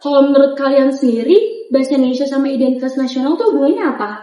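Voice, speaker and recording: A woman's voice: sits high, mellow, muffled recording, lightly acted. A young woman acting a female student in an amateur school skit who leads the discussion with pointed questions. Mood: questioning. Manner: quick, questioning, guiding the discussion. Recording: roomy, with music underneath.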